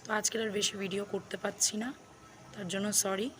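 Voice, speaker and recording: A woman's voice: medium pitch, little pitch movement, moderate pace. A young woman with a West Bengal Bengali accent chatting casually to viewers in a vlog, addressing them as friends and mixing in English words. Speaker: vlogger. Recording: faint background noise.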